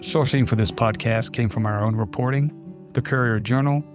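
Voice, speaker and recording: A man's voice: medium pitch, moderate pace. A man hosting a podcast, reading scripted announcements and credits. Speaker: podcast host. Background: guitar music.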